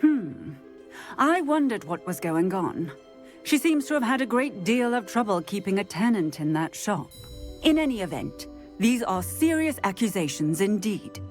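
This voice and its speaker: A woman playing a composed female wizarding police officer in a video game, measured and formal in manner. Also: mid-range voice, very animated, soft background music, lightly acted. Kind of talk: video game character acting.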